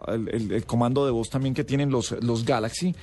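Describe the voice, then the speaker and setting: A man's voice: medium pitch, fairly even intonation, brisk, warm tone. A man hosting a casual radio interview, talking with many fillers and restarts. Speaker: radio host.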